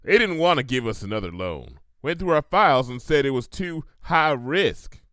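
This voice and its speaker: A man's voice: mid-range voice, big pitch swings, normal speed, bright, forceful tone, smooth, no echo, clean studio sound, pitched above the performer's own voice. A man voicing a blunt, hard-working female cartoon farm pony with a folksy, drawling way of talking, in a story reading. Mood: glum, resigned. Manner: folksy, plain-spoken, drawling dialect.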